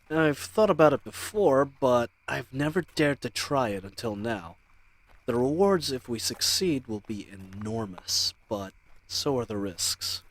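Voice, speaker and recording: A man's voice: mid-range voice, no room sound. A man playing a charming, cocky bandit crew leader in an audio roleplay, with a teasing, swaggering, conversational manner. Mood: amused, conspiratorial. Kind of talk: dramatic audio roleplay acting.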